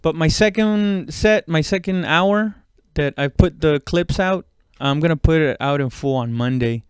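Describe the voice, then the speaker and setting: A man talking in a casual, rambling podcast monologue, halting and conversational, with frequent swearing. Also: fairly high pitch, clean tone, no room sound. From immigrant, moved abroad at seven. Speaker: stand-up comedian podcast host.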